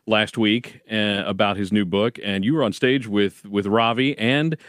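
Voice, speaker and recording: A man's voice: fairly low pitch, fairly even intonation, unhurried, a bit bright, no echo, studio quality. A man co-hosting a radio show with casual, joking banter and agreeable interjections. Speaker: radio co-host. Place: radio studio.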